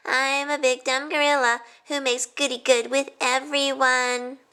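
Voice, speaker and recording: A woman's voice: sits high, little pitch movement, a little slow, pushed and bright, smooth, voice pushed up. A woman reading a storybook, voicing a mean boy bully who mocks and taunts other children with ape-like whooping. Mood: jeering, mocking.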